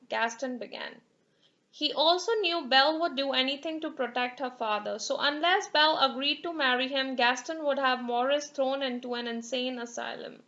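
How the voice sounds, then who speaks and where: A woman narrating a children's fairy tale as an expressive, dramatic storyteller. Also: high voice, muffled recording.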